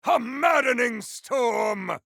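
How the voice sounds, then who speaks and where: A male voice actor playing a grandiose, battle-hungry video game warrior, declaiming in a bombastic, archaic style with a rough, gravelly voice. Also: very high-pitched, lively intonation, slow pace, pushed and bright, dry recording, raised voice, clean studio sound, heavily acted. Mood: battle fury. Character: grandiose battle-hungry warrior wielding fire, frost and storm. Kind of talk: video game combat barks.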